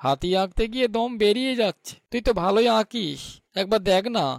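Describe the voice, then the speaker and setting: High, creaky, timid village man acted by a man. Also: lively intonation, a little fast, no echo, studio quality. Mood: pleading. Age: middle-aged. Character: timid, grumbling older village man, fearful of ghosts. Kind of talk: animated cartoon dialogue.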